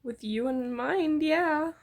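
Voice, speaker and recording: A gentle, bashful young man read by a woman from a fan fiction story. The voice is high and creaky, earnest and a little hesitant. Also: takes it slowly. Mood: shy, earnest.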